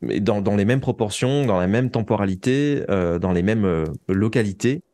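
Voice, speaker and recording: A man's voice: on the low side, moderate pace, warm tone, no room sound. A man explains things casually as an interview guest, often hesitating and repeating words as he looks for the right phrase. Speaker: interview guest, writer of audio fiction.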